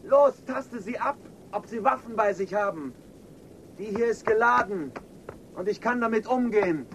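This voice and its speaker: Radio drama: distrustful, quick-tempered outcast, curt commands, fairly high voice, some noise behind. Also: male voice, fairly bright tone, poor audio. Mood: threatening. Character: defiant, distrustful surface-dweller man, quick to threaten. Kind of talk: German science fiction radio drama acting.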